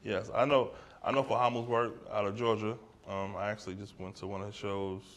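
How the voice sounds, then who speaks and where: A man with a somewhat low voice speaks casually in an art gallery, fielding questions from the audience. He talks loosely and conversationally, tossing in "right?" and "like" as he works through his thoughts. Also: level tone, a little fast. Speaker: artist discussing his exhibition.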